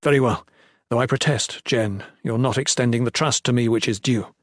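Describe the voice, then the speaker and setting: A man's voice: medium pitch, normal speed, no echo, studio quality, natural voice. A man voicing a distressed, contrite young male protector who pleads in formal, courtly wording for a storybook. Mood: anguished pleading.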